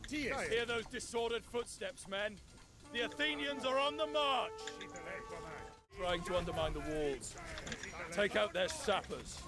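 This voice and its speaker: A man's voice: sits high, expressive, unhurried, pauses often, loud music behind the voice. A man playing a bold, proud Spartan general in a game, giving rousing, commanding speeches and orders.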